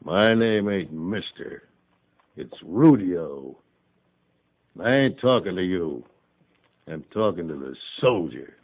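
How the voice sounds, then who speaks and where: A menacing saloon tough with a deep voice and a slow, rustic drawl. He taunts like a fifties radio western villain, on a narrow, muffled old recording. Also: lively intonation, full character voice. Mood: threatening. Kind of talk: 1950s radio western acting.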